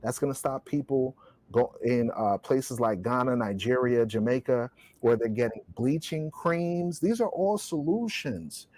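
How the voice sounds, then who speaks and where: A man's voice: medium pitch, animated, measured pace, darkish tone, dry recording. A man speaking in an impassioned, preacher-like podcast discussion, stressing his points with rhetorical questions and scripture. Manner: emphatic, rhetorical, quotes scripture. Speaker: podcast co-host.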